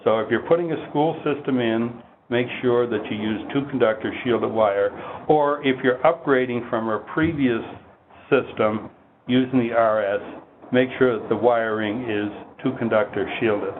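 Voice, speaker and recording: Through a thin, phone-like connection with noticeable noise, a man delivers a technical training presentation. His voice sits at a middle pitch. He explains the product in a measured, instructional manner, as a trainer walking through slides. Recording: muffled phone-like line with heavy noise.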